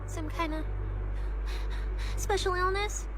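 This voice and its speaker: A woman playing a cheeky, food-loving young snake-woman security officer in a video game, playful and expressive, secretly caring. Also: extremely high pitch, slow, heavy background noise. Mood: concerned.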